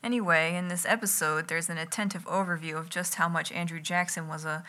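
A woman reading her own writing aloud as an ASMR read-along, in a deliberate, literary manner with wry asides, close to the mic in a home kitchen. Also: on the low side, fairly even intonation, bright, forceful tone. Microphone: close enough to catch breath and lips.

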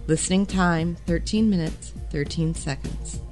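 A woman announces from a script for a podcast. Her voice is very low for a woman, and music plays behind it. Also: unhurried, a little mellow.

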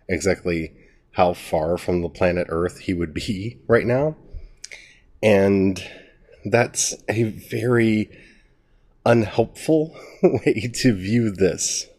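A man with a cold gives a casual Bible study, his voice gravelly and stuffed-up. He talks slowly and earnestly, and his voice sits very low. He thinks aloud as he goes. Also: darkish tone. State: has a cold, gravelly and nasal. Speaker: writer teaching a Bible study series. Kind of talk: casual spoken teaching.

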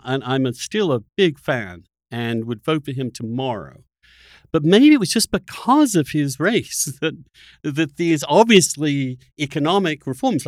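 A man with a fairly high, very animated voice talks through an argument in casual discussion. He is emphatic, often breaking off and restarting as he goes. The recording is dry and close, with no room sound. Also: dry recording. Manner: emphatic, halting with repeated restarts. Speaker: podcast host in conversation with a guest.

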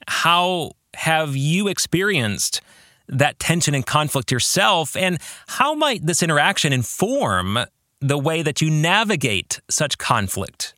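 A man hosting a podcast, giving reflective book club commentary in a deliberate way and putting rhetorical questions to the listener. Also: on the high side, pushed and bright, no room sound, clean studio sound. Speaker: podcast host and author.

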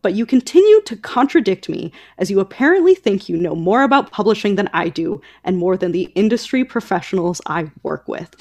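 A woman hosts a casual chat podcast with a medium-pitched voice. She talks informally, explaining things conversationally and dropping in asides as she goes. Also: expressive, fairly quick, hardly any pauses, darkish tone. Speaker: podcast host.

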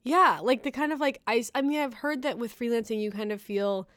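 A woman's voice: fairly high pitch, a little fast, fairly bright tone, no echo. A woman hosting a podcast, chatting casually with her guests, laughing often and filling her talk with "like" and "um.". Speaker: podcast host.

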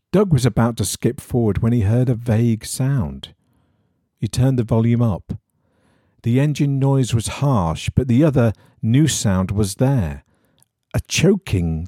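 Male narrator for eerie fiction: low-lit suspense, raspy edge, very lively pitch, close dry sound. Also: dry recording. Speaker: story reader. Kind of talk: scripted narration of an eerie horror story.

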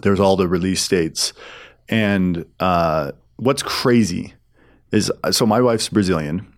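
A man with a very low voice answers questions as an interview guest. He explains things conversationally and haltingly, often repeating a few words before carrying on. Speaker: interview guest, film studio executive.

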